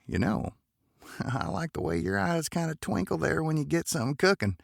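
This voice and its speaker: A man playing a protective, smitten, folksy romantic lead in an audio drama, earnest and halting, with a gravelly voice. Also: on the high side, big pitch swings, no room sound, clean studio sound, heavily acted. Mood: admiring.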